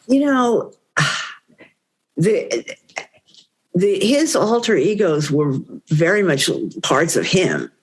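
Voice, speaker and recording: A woman's voice: on the low side, big pitch swings, very slow, pauses often, husky. An older woman, a guest on a podcast, recalling the past in a rambling, conversational way. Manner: warm rambling recollection with many "you know"s. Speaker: interview guest. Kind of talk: reminiscing conversation.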